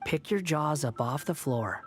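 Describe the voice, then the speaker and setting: A man's voice: on the high side, animated, unhurried, fairly bright tone, slightly rough, full character voice. An actor playing a snarky, aloof young male wanderer in a game, speaking with cool, condescending, backhanded politeness. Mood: smug. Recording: game audio under music.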